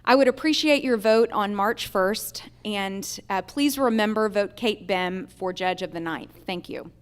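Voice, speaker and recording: Clear-voiced woman making a campaign speech. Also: mid-range voice, unhurried. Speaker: judicial candidate, lawyer. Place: theater, live event.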